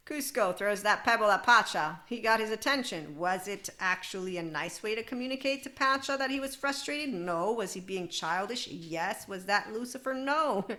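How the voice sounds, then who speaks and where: A woman's voice: medium pitch, lively intonation, normal speed, bright, forceful tone. A woman hosting a podcast, talking in a loose, digressive self-help monologue with frequent laughs. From United States. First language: English. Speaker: podcast host.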